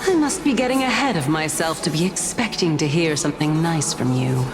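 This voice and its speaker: A woman's voice: low voice, expressive, fairly quick. A woman playing a proud, teasing Titan spirit in a video game, with a playful and haughty manner. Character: proud, teasing female Titan spirit sharing a man's body. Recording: background music.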